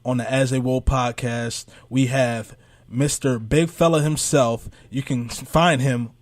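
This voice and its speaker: A man's voice: mid-range voice, fairly even intonation, a little slow, dry recording, studio quality. A man co-hosting a podcast, interviewing a guest in a casual, informal way. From United States, Philadelphia area. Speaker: podcast co-host.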